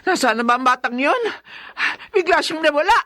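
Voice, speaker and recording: A woman's voice: sits high, animated, fairly quick, pushed and bright. A woman playing a meek, worried elderly Filipino grandmother in an audio drama. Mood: panicked. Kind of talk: Filipino radio-style drama acting.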